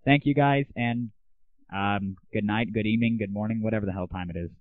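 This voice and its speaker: A man's voice: lowish voice, brisk, no echo. Phone-like recording of an irreverent man hosting. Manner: irreverent, crude, addresses listeners directly. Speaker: host of an audio show. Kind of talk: casual radio-style sign-off monologue. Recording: tinny, phone-like 1990s internet audio.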